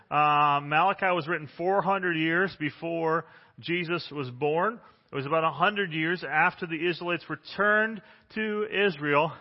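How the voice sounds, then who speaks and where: A man in his forties preaching a sermon as pastor, loud and emphatic, with frequent restarts, recorded on a microphone in a church. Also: high voice. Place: church sanctuary. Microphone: handheld or stand microphone. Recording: dull sound with long hall reverb.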